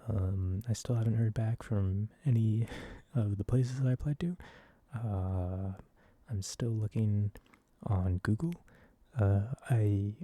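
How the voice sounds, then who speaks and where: Soft spoken guy doing an asmr ramble, really low n dark voice, breathy, kinda hesitant. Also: takes it slowly, no echo. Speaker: video creator.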